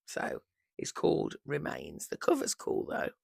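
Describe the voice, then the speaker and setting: A woman in her fifties, hoarse from losing her voice, chatting casually and rambling about books on her vlog into a microphone on a holder. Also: sits low, huge pitch swings, measured pace, no room sound, clean studio sound. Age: 50s. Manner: chatty, rambling, warm fond asides. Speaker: book vlogger.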